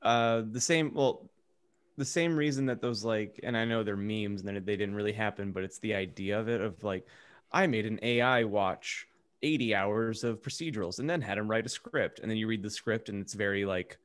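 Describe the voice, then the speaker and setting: A man co-hosting a podcast, talking casually in an informal, halting and self-deprecating way. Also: lowish voice, clear, resonant tone. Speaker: podcast co-host. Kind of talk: casual panel discussion.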